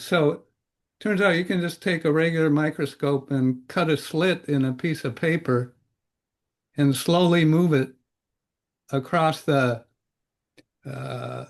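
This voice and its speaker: An older man hosting a podcast, asking loosely phrased questions and rambling through his own stories. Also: on the high side. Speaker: podcast host. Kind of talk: conversational interview.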